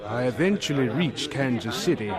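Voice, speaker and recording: An actor playing a humble elderly professor looking back on his life in a radio drama, gentle and wistful. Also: medium pitch, lively intonation, normal speed, over loud music, close to an everyday voice.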